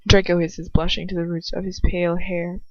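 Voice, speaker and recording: A woman's voice: fairly low pitch, little pitch movement, a little fast, darkish tone. A woman reading fan fiction aloud as an amateur narrator, informal and often breaking off into casual asides. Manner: informal, self-interrupting. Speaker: amateur audiobook reader. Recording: roomy, muffled lo-fi home recording.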